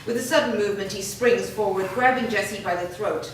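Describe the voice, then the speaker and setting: A woman reading stage directions aloud at a staged play reading, in a plain, even voice. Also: mid-range voice, a little fast. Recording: echoey room from far off, noisy.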